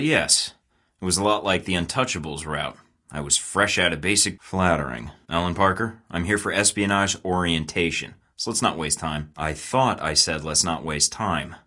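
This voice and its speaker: A man's voice: low-pitched, pushed and bright. An actor voicing a cocky young male spy recruit in a video game, speaking in a wry, sarcastic, self-assured way. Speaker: game character.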